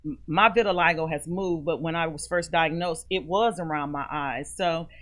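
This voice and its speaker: A woman's voice: low-pitched, moderate pace, fairly bright tone. A woman guest on a radio interview, telling her personal story in an earnest, heartfelt way. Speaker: guest, author and foundation founder.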